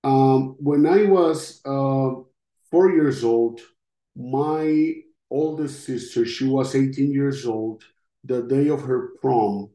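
A middle-aged man from Costa Rica with a Costa Rican Spanish accent gives personal testimony in an interview, speaking in a halting, reflective way. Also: mid-range voice, warm tone. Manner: halting, reflective, with long pauses. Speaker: interview guest, podcast host. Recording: roomy, echoing sound.

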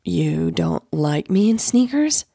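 A poised, flirtatious woman in a romantic audio drama, put on by a woman narrator. She speaks slowly in a lowish voice. Her teasing is cool, playful and understated. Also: big pitch swings, creaky, mellow, breathy edge, no room sound, clean studio sound, exaggerated voice.

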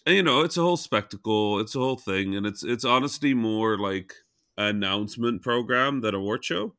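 Man, raspy morning voice, low pitch, crisp dry sound, chatty podcast co-host. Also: a little fast, pushed and bright, no room sound. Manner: frank, rambling with restarts. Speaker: podcast co-host, game developer. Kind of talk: casual chat.

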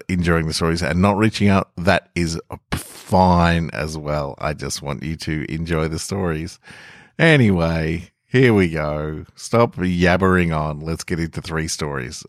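Low-voiced man, rambling. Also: unhurried, dry recording, clean studio sound. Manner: rambling, self-deprecating, many fillers. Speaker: podcast host and reader. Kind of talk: casual chat and story reading.

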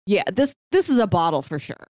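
A woman's voice: medium pitch, sing-song, brisk, a little mellow, no room sound. A woman co-hosting a podcast, chatting casually about films in a conversational way. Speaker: podcast co-host. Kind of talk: casual film discussion chat.

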